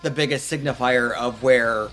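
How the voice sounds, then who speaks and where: A man's voice: medium pitch, fairly even intonation, a bit bright, faint music behind, poor audio. Midwestern American man, podcast co-host. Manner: thoughtful, hesitant, drawn-out asides. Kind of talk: casual chat about music.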